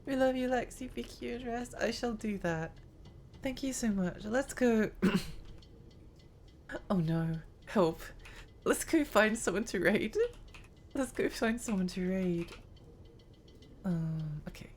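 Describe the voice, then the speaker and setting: A woman's voice: mid-range voice, slow pace, long pauses, a little mellow, slight background noise. A woman streaming a game live, chatting in a rambling, giggly way while her voice strains and tires. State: strained, tiring voice.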